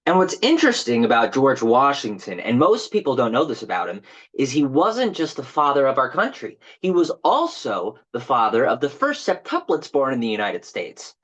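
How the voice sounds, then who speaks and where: A bitter male park guide performed by an actor holds forth in a theatrical monologue. His pitch leaps about expressively as he chats with passive-aggressive, mock-informative zeal. The audio is slightly muffled. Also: wildly swooping pitch, normal speed. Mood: chipper, mock-informative. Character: bitter, jilted, just-fired male park tour guide. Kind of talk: dramatic monologue reading as a tour speech.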